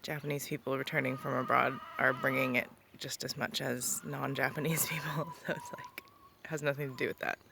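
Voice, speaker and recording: Young female vlogger, casual and rambling, a fry-edged voice, on a noisy outdoor recording. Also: rumbling low, flat delivery, brisk, hardly any pauses. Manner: rambling, many ums and likes. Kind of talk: casual vlog talk. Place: rural property outdoors, birds around.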